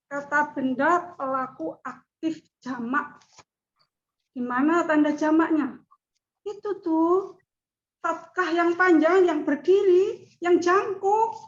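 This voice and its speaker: Slow high-voiced woman presenting to a class. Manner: slow, measured speech with chanted recitation. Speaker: student presenter. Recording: dull lo-fi audio.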